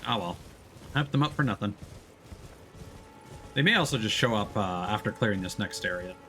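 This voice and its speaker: A man's voice: lowish voice, animated, moderate pace, bright, forceful tone. A man giving casual let's play commentary as a game streamer, musing and thinking aloud. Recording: clear background noise behind voice.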